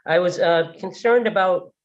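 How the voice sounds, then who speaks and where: A woman's voice: on the low side, normal speed. An older grandmother calling into a meeting, flustered and apologetic as she rambles through her questions. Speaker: caller at a public meeting. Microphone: video call without camera. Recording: dull lo-fi call audio.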